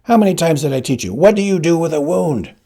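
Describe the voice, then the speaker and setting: A man reading a story aloud, voicing an old fencing teacher who shouts scornfully at his former pupil. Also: a little high, lively intonation, fast talker, pitched above the performer's own voice. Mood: ranting.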